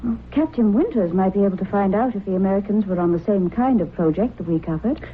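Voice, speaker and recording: An actress playing a poised, inquisitive scriptwriter and sensible wife in a vintage radio drama, polite and direct. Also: female voice, mid-range voice, animated, fast talker, natural voice. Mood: brisk, sensible. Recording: tinny, noisy old radio recording.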